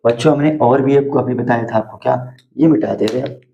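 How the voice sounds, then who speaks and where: A hoarse man teaching math to school students at a board, repeating steps and asking questions that he answers himself. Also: medium pitch, a little fast, mellow, muffled recording. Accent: Hindi.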